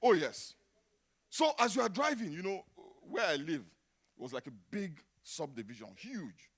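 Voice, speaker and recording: A middle-aged Nigerian man preaching a sermon, dropping to a hush and then rising to loud exclamations. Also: sits high, sing-song, normal speed, husky. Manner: dramatic, swings from hushed to shouting. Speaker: pastor.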